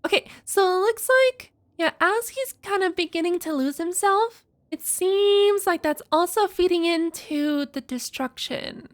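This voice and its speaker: Casting: female game streamer, extremely high voice, conversational, theorizing and reading aloud as she reacts. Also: unhurried, few pauses. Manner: thinking out loud, conversational.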